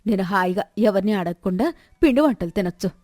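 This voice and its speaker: A woman's voice: medium pitch, expressive, a little fast, a little mellow, dry recording, clean studio sound, natural voice. Greedy, scheming village wife, voiced by a woman. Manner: scornful, self-pitying complaining.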